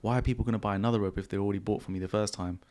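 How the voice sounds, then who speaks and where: A man hosting a podcast in a casual, relaxed way, with a croaky voice and slangy, repetitive phrasing. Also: on the low side, rapid-fire. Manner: relaxed, repeats himself, slangy. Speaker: podcast host. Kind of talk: casual podcast chat answering viewer questions. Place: home studio.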